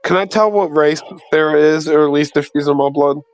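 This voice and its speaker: A man's voice: fairly high pitch, a little fast, smooth, no echo, faint music behind, rough recording. A man playing a tabletop game, chatting casually. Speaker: player.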